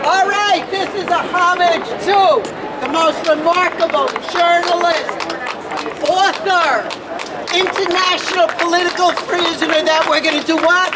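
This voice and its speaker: A man's voice: very high-pitched, fairly even intonation, unhurried, far from the mic. Man emceeing a noisy outdoor rally. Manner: loose, rousing, leads call-and-response chants. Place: outdoor urban park rally. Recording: crowd and city noise, off-mic.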